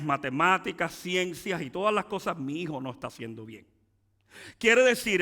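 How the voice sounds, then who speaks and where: Pastor guy preaching, switches to english sometimes, bright tense voice, big hall echo. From Cuba. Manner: preaching with rising emphatic peaks and dropping low asides. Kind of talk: bilingual sermon.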